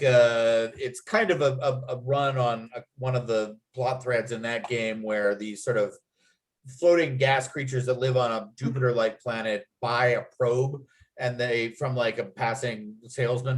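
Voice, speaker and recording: Man, medium pitch, halting but keen, informal group discussion, thinks out loud. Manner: halting, enthusiastic, rambling. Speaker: writer leading a table-read discussion. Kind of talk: casual group chat.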